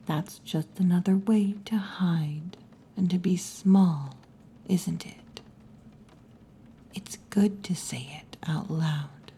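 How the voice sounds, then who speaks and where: Hushed, low-voiced wolf girl bartender, acted by a woman. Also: a little hiss or hum. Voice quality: breathy, mostly whispered or half-whispered. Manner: slow, hushed, teasing, with long pauses. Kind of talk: ASMR roleplay, intimate scripted monologue. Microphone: close ASMR microphone.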